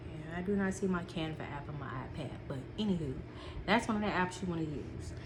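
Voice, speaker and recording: A woman's voice: low-pitched, expressive, fairly quick, noisy background. A woman vlogger with a stuffy, sniffly nose, chatting casually from her notes with lots of ums and repeats. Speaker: small business vlogger.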